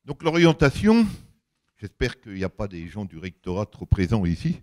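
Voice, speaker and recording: An older man with a big voice giving an anecdotal public talk, colloquial and emphatic, acting out bits of dialogue as he tells it. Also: moderate pace. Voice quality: big deep voice. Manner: animated storytelling, colloquial, emphatic, acts out reported dialogue.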